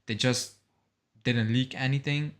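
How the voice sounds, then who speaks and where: A man's voice: mid-range voice, fairly even intonation, unhurried, a bit bright. A young man casually chatting as co-host of a football fan live show, reading out and reacting to viewer comments.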